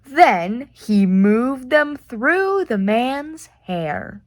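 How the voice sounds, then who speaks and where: A woman reading a children's picture book aloud in an expressive, deliberate way with playful reactions. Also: a little high, dry recording.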